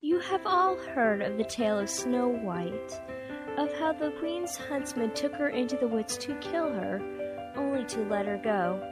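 A woman's voice: a little high, expressive, unhurried, poor audio. A woman giving a scripted storytelling introduction as narrator. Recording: loud music behind the voice.

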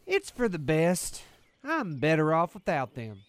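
A woman's voice: deep voice, wildly swooping pitch, measured pace, no room sound, exaggerated voice. A woman playing a meek, clumsy young male duckling in an audio drama, timid and apologetic. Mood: downcast, shy.

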